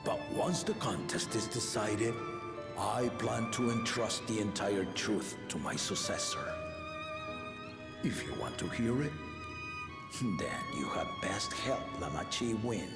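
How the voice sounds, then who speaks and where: Slow-spoken, gravelly aging king. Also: on the low side, lively intonation. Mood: measured, firm. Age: older. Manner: stately, deliberate, formal. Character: aging, regal father-king, amused, measured and commanding. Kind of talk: video game cutscene acting. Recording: roomy reverb under loud music.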